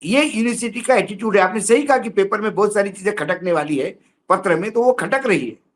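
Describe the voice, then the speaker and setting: An emphatic male commentator with a high-pitched voice speaks on a news show. He sounds indignant and argues his opinions through pointed rhetorical questions. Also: animated, fairly quick.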